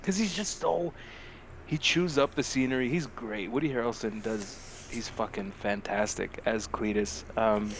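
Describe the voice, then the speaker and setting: A man co-hosting a casual podcast, talking in an animated, slangy way and swearing freely. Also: lowish voice, a little slow, slight background noise. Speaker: podcast co-host. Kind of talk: casual movie-review banter.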